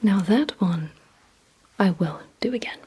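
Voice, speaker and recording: A woman doing an ASMR roleplay, mostly in a breathy whisper, giving gently commanding instructions in a deliberate manner. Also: medium pitch, animated, low-quality recording. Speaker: ASMR creator playing a vampire giving memory and attention tests.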